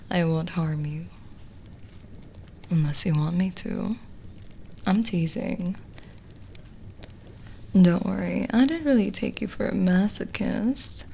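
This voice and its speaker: Very slow, whispery gentle witch, played by a woman. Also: fairly low pitch, slight background noise, heavily acted. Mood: playful, teasing. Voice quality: soft, breathy whispering. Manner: slow, hesitant, intimate, teasing. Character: lonely gentle teasing witch, female, reading palms. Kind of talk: ASMR roleplay, soft-spoken with whispering.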